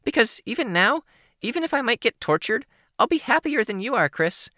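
A reader voicing a chirpy, talkative young teen girl who speaks in rushing bursts of words, in an audiobook. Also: medium pitch, very animated, pushed and bright, no echo, studio quality, voice pushed up. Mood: angry. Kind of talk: audiobook character voice.

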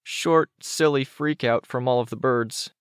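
A man voicing an excitable, defensive young boy with a complaining, exclaiming manner in an audiobook story. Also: mid-range voice, measured pace, smooth, dry recording, studio quality, voice pushed up, lightly acted. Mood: sheepish.

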